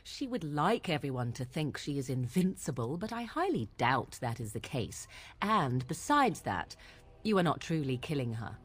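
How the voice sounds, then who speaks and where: A woman's voice: sits low, big pitch swings, normal speed, slightly rough, full character voice. An actress playing a proud, imperious young witch in dramatic video game dialogue, with formal, precise diction. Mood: scornful. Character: proud, imperious young witch fearing her murderous mother.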